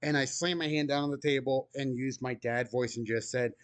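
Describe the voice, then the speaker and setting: A man game master chatting casually, speaking calmly and evenly. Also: medium pitch, a little fast, smooth, dry recording. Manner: calm, even-tempered talker. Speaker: game master, video creator. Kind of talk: casual podcast chat.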